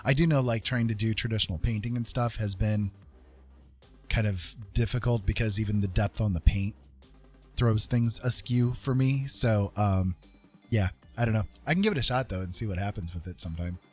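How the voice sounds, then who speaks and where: Low, husky-voiced man chatting casually. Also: normal speed, a little mellow, faint music behind. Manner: rambling, hesitant, repeats himself. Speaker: live stream host and artist. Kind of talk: casual chat with stream viewers.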